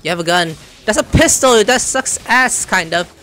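Lively, crude-joking gamer. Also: medium pitch, normal speed. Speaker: gamer commentating over gameplay. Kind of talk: casual let's-play commentary. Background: game music.

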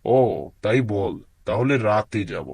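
A proud tiger uncle in a cartoon, with a low and husky voice. He is gruff and blustering, greedy and sure of his own rank. The sound is close and dry. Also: slow pace, a little mellow, no echo, exaggerated voice. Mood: proud, stern. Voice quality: husky, rough. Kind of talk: cartoon dubbing.

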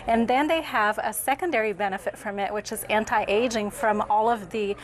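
Woman from Brazil, mid-pitched interview guest. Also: moderate pace. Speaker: interview guest, beauty company founder. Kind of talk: conversational product presentation in an interview. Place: busy TV newsroom studio. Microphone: lapel mic.

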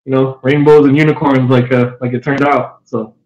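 A man in his forties, born in Japan and raised near Los Angeles, a guest telling stories in a relaxed, easygoing way on a video-call podcast. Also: medium pitch, normal speed. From born in Japan, raised east of Los Angeles. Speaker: guest, fighting game veteran. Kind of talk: casual podcast interview over video call. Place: room at home. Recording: roomy, dull lo-fi webcam audio.

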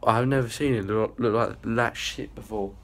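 Young lad rambling and swearing on a handheld phone vlog, kinda low voice. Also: male voice, fairly even intonation, normal speed, vocal fry. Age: young adult. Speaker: vlogger. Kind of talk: casual vlog chat. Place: small indoor rooms and a hallway. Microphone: phone held at arm's length.

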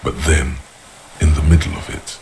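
An actor playing a grave, observant inner-voice narrator in a video game, speaking in measured, portentous literary prose. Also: male voice, extremely low pitch, fairly even intonation, vocal fry, a little mellow, a little hiss or hum, poor audio. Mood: hushed wonder, ominous.

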